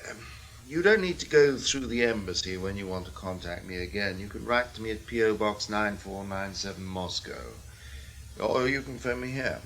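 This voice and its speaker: A man's voice: lowish voice, normal speed, a bit bright. A man acting in a radio drama as a wary, composed KGB officer, controlled and probing in his talk. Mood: composed, businesslike.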